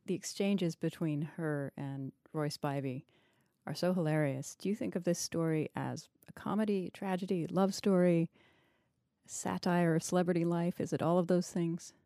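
A woman hosting a literary podcast, speaking in a measured, articulate and analytical way. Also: on the low side, steady tone, warm tone, no echo, clean studio sound. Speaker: podcast host, interviewer. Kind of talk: literary interview and podcast narration.

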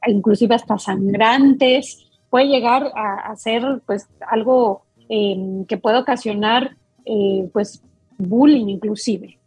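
A woman doctor explains a health topic as a guest on a talk show, taking her time and pausing on fillers. Her voice sits a little high and sounds husky and breathy, recorded dry and close. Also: a little mellow, no echo, soft background music, rough recording. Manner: explains patiently, with many fillers and restarts.

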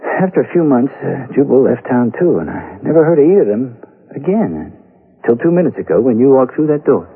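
A folksy small-town man of the Old West in a vintage radio drama. He speaks earnestly and haltingly, with lively swings of pitch and a rough, husky tone. The recording is dull and muffled. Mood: earnest, reflective. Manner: folksy, hesitant, emphatic storytelling. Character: small-town western man earnestly recounting a family's dark history. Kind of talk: 1970s radio drama acting, western.

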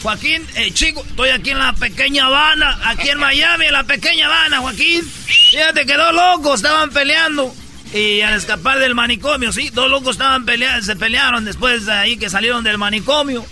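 A man's voice: very high voice, brisk, bright, forceful tone, soft background music, pitched above the performer's own voice, exaggerated voice. A man voicing a chatty male Cuban field reporter with a sing-song Cuban accent who keeps calling people "chico". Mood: playful.

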